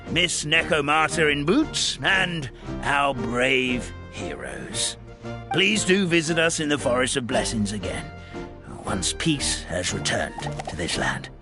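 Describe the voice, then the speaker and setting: A man's voice: a little high, exaggerated voice. An actor voicing a courteous, grandfatherly old animal elder in a video game, with formal, ceremonious manners. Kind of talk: scripted game dialogue.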